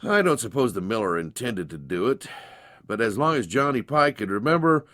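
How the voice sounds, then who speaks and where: Folksy man storyteller, very animated pitch. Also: moderate pace, no room sound, clean studio sound. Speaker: narrator, audiobook reader. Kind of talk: dramatized story narration.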